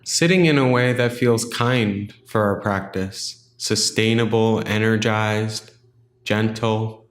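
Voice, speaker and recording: Low-voiced man guiding meditation, roomy echo. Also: fairly even intonation. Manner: gentle, unhurried, instructional with long pauses. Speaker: meditation guide. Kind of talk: guided meditation.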